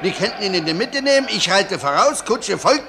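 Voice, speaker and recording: An actor playing a pompous, blustering police superintendent in a radio play, stammering repeats and barking commands. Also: male voice, high-pitched, big pitch swings, a little fast, noisy background. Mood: officious, impatient. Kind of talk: theatrical German radio play acting.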